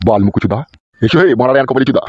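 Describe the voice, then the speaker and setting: A man playing a gruff, exasperated village father who berates his son in a comic cartoon. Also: medium pitch, racing, lo-fi sound, very noisy, lightly acted. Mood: angry, exasperated.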